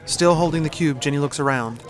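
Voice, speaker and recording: A man's voice: a little high, a little fast, background noise. A man reading scripted, descriptive narration for an audio drama. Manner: descriptive, present-tense storytelling. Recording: music behind narration.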